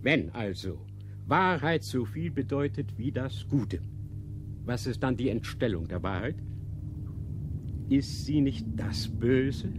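An actor playing a stern, dogmatic inquisitor in a radio drama, measured and didactic, posing rhetorical questions. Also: male voice, medium pitch, background noise. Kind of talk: literary radio drama acting.

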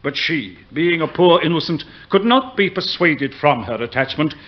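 An actor playing an earnest prosecuting attorney in a period radio play, formal and measured. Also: male voice, fairly high pitch, lively intonation, close to an everyday voice. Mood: grave, narrating. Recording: muffled radio drama recording.

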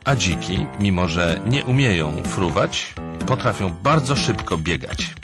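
A man's voice: low-pitched, expressive, unhurried. A man reading scripted humorous narration in a didactic, wry manner, with music underneath.

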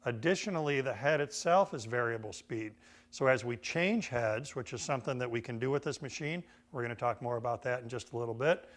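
A man's voice: medium pitch, fairly quick. A middle-aged man with an American accent giving an informal, folksy hands-on instructional demonstration as a woodworking teacher. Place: indoor woodworking shop.

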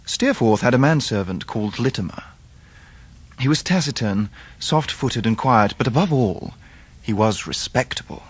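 An actor playing a grown English gentleman who narrates his own youth in a radio drama. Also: mid-range voice, lively intonation, normal speed, slightly rough, dry recording. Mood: reflective.

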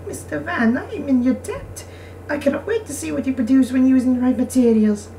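Woman acting demure young noblewoman, high, soft, courteous, formal, visual novel, distant mic. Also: a little fast, roomy sound. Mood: grateful. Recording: noisy, distant microphone.

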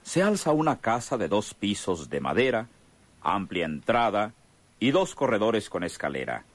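A man's voice: on the low side, sing-song, normal speed. A man acting as a solemn, florid narrator of an old radio serial. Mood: dramatic, solemn. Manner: dramatic, florid storytelling. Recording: music under the voice.

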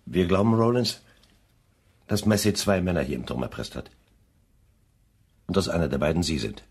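An actor playing a stern, commanding police chief with a husky voice in a German crime radio play. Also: male voice, deep voice, sing-song, normal speed, exaggerated voice. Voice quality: husky, raspy.

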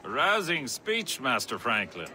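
An actor playing a suave, courteous colonial gentleman in a game, formal and measured. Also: medium pitch, wildly swooping pitch, slight background noise, heavily acted. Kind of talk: dramatic game cutscene acting.

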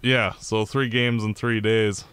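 A Canadian man co-hosting a podcast, talking through the game in a measured, analytical way. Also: fairly low pitch, flat delivery, a bit bright, clear, resonant tone, dry recording. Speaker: podcast co-host. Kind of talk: casual sports chat.

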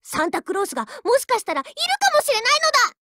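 Haughty little anime ship girl, mobile game. Piping high voice, lively inflection, bratty bragging, dry studio sound. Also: racing, dry recording, full character voice. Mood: upset, pleading. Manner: boastful, tsundere, ends sentences with a verbal tic. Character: haughty, childish warship girl with animal ears. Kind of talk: Japanese anime game character voice lines.

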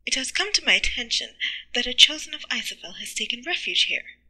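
A woman voicing a stern male fox high priest who speaks formally and pompously in a storybook. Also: lively intonation, fairly quick, sharp tone, exaggerated voice, poor audio. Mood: imperious.

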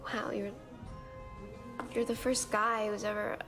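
A woman's voice: medium pitch, level tone, takes it slowly. An actress playing an anxious young woman who hesitates and stammers. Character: nervous young woman, a gangster's girlfriend. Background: game score music.

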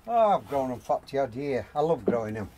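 A man's voice: medium pitch, sing-song, brisk, warm tone. Older bloke explaining his allotment, blunt short phrases, bit of background noise on a handheld camera. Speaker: allotment gardener. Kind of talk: casual explanatory chat. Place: open-sided greenhouse on an allotment. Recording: noisy handheld outdoor camera audio.

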